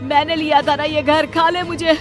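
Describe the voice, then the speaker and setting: An anguished cartoon family man played by a woman pleads tearfully in a strained, high pitch. Loud music runs behind the voice. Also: little pitch movement, normal speed, lightly acted. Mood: anguished, accusing.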